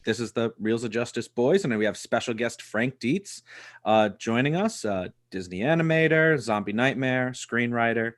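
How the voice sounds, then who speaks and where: A man's voice: medium pitch, animated, moderate pace. A man hosting a podcast, joking dryly over a video call. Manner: dry, joking. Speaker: podcast host. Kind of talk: casual chat.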